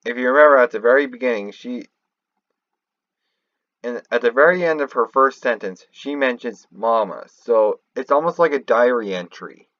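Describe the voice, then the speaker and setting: A man giving unscripted commentary on game lore in a halting, rambling way, often restarting mid-thought. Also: mid-range voice, a bit bright, no room sound, highs cut off. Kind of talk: unscripted theorizing commentary over a screen recording.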